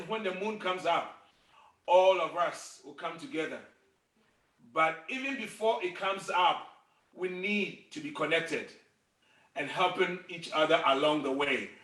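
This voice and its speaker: A middle-aged man with a bright, high voice teaches a song game in an encouraging, gentle way, taking his time over every phrase. He is heard from some distance off, in a roomy, echoey space on a video call. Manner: gentle, encouraging, leads call-and-response singing. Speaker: music and storytelling teacher. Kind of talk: singing game lesson for children. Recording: roomy sound from a distant mic.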